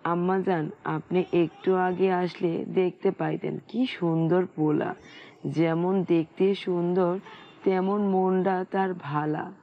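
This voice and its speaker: Woman doing a slow, folksy Bangladeshi village woman. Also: fairly low pitch, steady tone. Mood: plainly admiring. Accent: rural Bangladeshi. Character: simple, admiring village woman in domestic talk. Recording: slightly dull, lo-fi sound.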